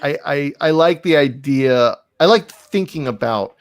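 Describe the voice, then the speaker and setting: Man hosting a casual chat, creaky voice. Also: on the high side, lively intonation, a little slow, dry recording. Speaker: podcast host. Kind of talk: casual conversational interview.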